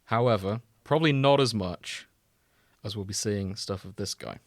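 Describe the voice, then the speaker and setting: Low-voiced British man, casual podcast explainer. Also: normal speed, no echo, clean studio sound. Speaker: podcast host explaining a video game.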